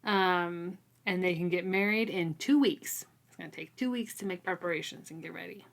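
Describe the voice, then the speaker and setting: A woman with a slightly low voice co-hosts a book read-along podcast. She talks casually and informally, recapping the story with playful little asides and plenty of "like". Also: moderate pace, creaky. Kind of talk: casual chat, recapping a novel.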